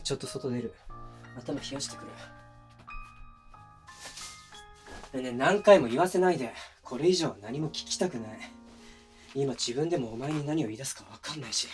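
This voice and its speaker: Guy acting as young boyfriend in an audio drama, blunt casual talk, mid-pitched, close mic, bit of music under it. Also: fast, close to an everyday voice. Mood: cold, restrained. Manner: blunt, casual masculine speech. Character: defensive, hot-tempered young boyfriend quarrelling with his lover. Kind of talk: situation voice drama addressed to the listener. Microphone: close binaural microphone.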